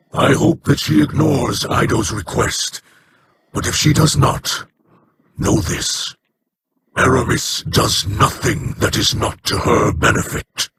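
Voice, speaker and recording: Brief: a measured, formal game ally, gravelly and slow-spoken. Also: male voice, mid-range voice, big pitch swings, noisy background, heavily acted.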